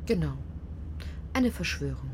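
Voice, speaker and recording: A teasing, sceptical Bavarian woman in a drama. Her voice is mellow and dark, with a forthright manner. Faint ambient noise sits behind her. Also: low-pitched, big pitch swings, a little slow. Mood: dry sarcasm.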